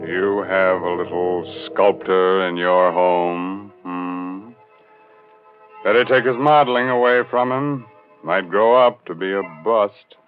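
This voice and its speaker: An American man hosts an old radio mystery show with macabre relish and sly puns. He speaks low and very slowly, on a tinny vintage recording with faint music. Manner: macabre, mock-sinister, punning. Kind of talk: 1940s radio horror hosting.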